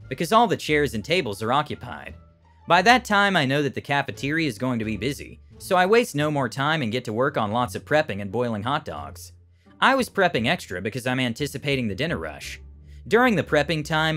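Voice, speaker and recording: A man's voice: medium pitch, fairly bright tone. A man reading a written first-person story aloud as a scripted narration. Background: background music.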